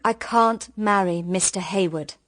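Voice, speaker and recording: A woman's voice: medium pitch, a little slow, fairly bright tone, lightly acted. An actress with a British accent playing an emotional, girlish young daughter, sweet but stubborn, in radio drama style. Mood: tender, firm. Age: young adult.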